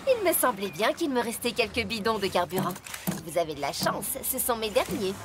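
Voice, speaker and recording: A woman's voice: fairly high pitch, lively intonation, brisk, natural voice. A woman voicing a helpful, friendly female mechanic in a children's cartoon, upbeat and obliging. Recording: loud music behind the voice.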